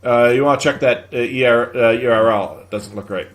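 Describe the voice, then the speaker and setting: A man hosting a live show, giving practical tech advice and answering viewer questions in a halting, conversational, explanatory way. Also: medium pitch.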